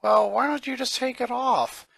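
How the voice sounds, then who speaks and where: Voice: medium pitch, normal speed, creaky, a bit bright, breathy edge, no room sound, pitched above the performer's own voice, heavily acted. Adult doing a curious, nerdy cartoon boy. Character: friendly, curious nerdy preteen boy, helpful sidekick.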